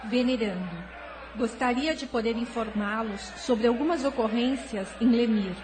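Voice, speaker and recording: A woman acts out a noble alien councillor in an audio drama. Her voice is a little high, solemn and concerned, with formal, measured phrasing. Faint ambient noise runs behind her. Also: close to an everyday voice. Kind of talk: spiritist audio drama acting.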